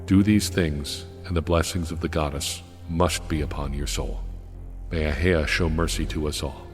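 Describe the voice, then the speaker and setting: A man's voice: extremely low pitch, fairly even intonation, moderate pace. A man playing a guilt-ridden, devout soul confessing in a final letter, speaking in formal, archaic, solemn phrases. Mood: solemn remorse. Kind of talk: solemn audiodrama letter reading. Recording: long hall reverb over music.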